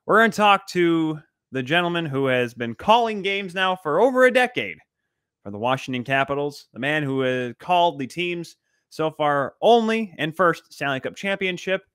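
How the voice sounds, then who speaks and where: A man's voice: a little high, very animated, normal speed, fairly bright tone, clean tone, no echo, studio quality. A man hosting a sports podcast and interview in an emphatic, showy announcer-like manner. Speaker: podcast host.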